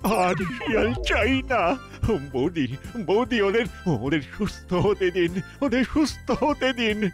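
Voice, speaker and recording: A man's voice: sits high, expressive, slow pace, music in the background. A man voicing a flustered, easily overwhelmed male tutor in a children's cartoon, often repeating his phrases. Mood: pleading.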